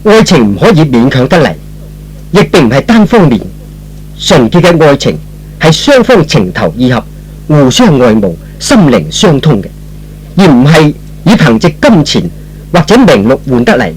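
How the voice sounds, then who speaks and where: A man's voice: fairly high pitch, wildly swooping pitch, normal speed, breathy edge, poor audio. A man giving scripted narration in the style of old-fashioned radio drama.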